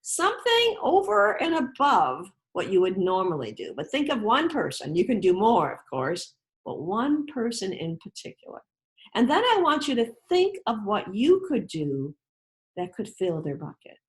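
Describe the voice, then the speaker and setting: A woman author reading aloud and talking directly to children in an encouraging, instructive way with deliberate, emphatic phrasing. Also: mid-range voice, huge pitch swings.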